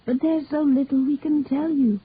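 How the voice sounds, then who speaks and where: A woman's voice: high-pitched, fairly even intonation, normal speed, pitched above the performer's own voice, natural voice. A woman voicing a cool, grudging older mother in a story, reluctant and exasperated in manner. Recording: narrowband, tinny phone-like sound.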